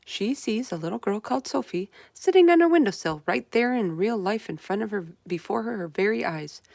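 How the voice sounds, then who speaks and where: A woman's voice: mid-range voice, expressive, a little fast, creaky, darkish tone, dry recording, studio quality. Woman reading as confident, bossy little orphan girl. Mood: scheming, instructing. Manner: firm, instructing, assured. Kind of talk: storybook read-aloud character voice.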